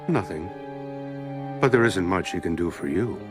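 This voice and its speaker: An actor voicing a mocking male villain in an animated series, with a deep, taunting delivery. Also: moderate pace, over music. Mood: sneering.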